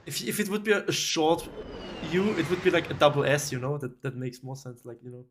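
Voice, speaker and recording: A man's voice: fairly high pitch, steady tone, fairly quick, background noise. Austrian man streaming a speedrun, chatty. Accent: Austrian German. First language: German. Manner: chatty, swears casually, talks to chat. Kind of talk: casual live-stream chat while gaming.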